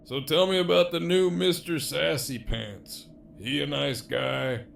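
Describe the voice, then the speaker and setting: A heavily gravelly man's voice acting out a role as a cocky, teasing villain behind bars in an audio drama. He takes his time, drawling with sardonic bite, over faint background noise. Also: a little high, steady tone, unhurried.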